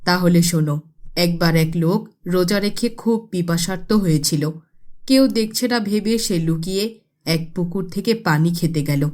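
A woman's voice: lowish voice, a little slow, darkish tone, pitched below the performer's own voice, lightly acted. A woman playing a pious poor village girl in a cartoon, earnest and preachy. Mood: grave storytelling.

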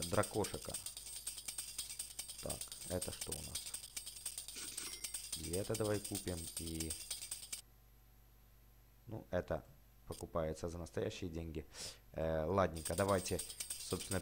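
A man hosting a casual, chatty let's play for children, excitable, full of exclamations, repeated words and affectionate diminutives. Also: low-pitched, measured pace, creaky, pauses often, music in the background. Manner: uses many affectionate diminutives. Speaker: gaming YouTuber host.

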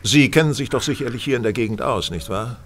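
An actor playing a brilliant, self-assured detective in a German audio drama, speaking precisely with authority and slight condescension. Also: male voice, mid-range voice, fast, a bit bright. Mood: commanding, assured.

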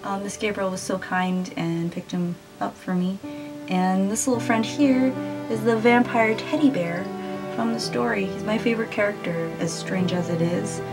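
A woman's voice: mid-range voice, fairly even intonation, normal speed, over music. A woman in her twenties doing a casual children's picture-book read-aloud in a small room. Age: 20s.

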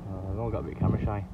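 Rambling man vlogging outdoors, lowish voice, dull camera sound with wind and water. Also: vocal fry. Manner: chatty, rambling, frequent "you know". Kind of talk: casual outdoor vlog chat. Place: outdoors, kayak at sea then beach. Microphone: action camera.

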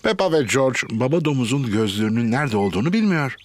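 A man playing a cheerful storybook narrator for a children's cartoon, speaking clearly in an animated storytelling manner. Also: mid-range voice, quick pace, close to an everyday voice.

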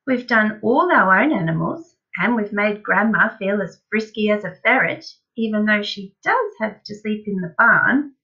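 An overbearing farmer dad from a children's storybook, acted out by a woman, gushes and exclaims impatiently. The voice swoops up and down with bright, forceful energy. The recording is a little dull. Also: medium pitch, lively intonation, moderate pace, hardly any pauses. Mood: feverishly excited. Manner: emphatic, gushing, exclaiming, impatient. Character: wildly excited, bossy, overbearing middle-aged farmer father. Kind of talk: dramatised children's storybook reading.

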